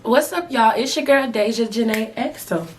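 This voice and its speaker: A young woman reviewing a wig in a casual vlog, her voice hoarse and raspy after a night out, talking in a chatty, slangy, animated way. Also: on the high side, moderate pace, faint music behind. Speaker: beauty vlogger.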